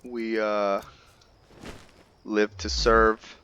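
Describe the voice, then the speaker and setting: A man giving casual gameplay commentary, thinking aloud with halting, hesitant pauses. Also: on the low side, little pitch movement, fairly bright tone, dull audio, a little hiss or hum. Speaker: gamer host of a roleplay series.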